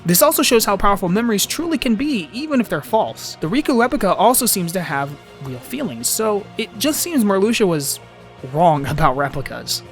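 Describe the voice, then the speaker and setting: A man's voice: sits high, big pitch swings, fairly quick, soft background music. A man narrating an informal scripted fan video essay about a game, with emphatic, swinging phrasing.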